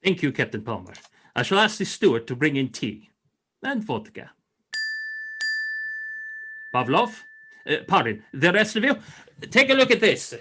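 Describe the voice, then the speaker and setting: A man's voice: on the high side, sing-song, a little slow, pauses often, bright, forceful tone, slight background noise, full character voice. A man playing a courtly Russian frigate commander in a radio play, formal, gracious and grand in manner. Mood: grave, courteous. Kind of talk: radio play acting, 1940s style.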